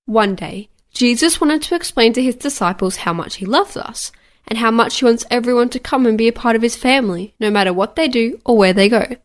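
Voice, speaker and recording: A woman's voice: on the high side, animated, fairly quick. A woman reading a scripted Bible story for children.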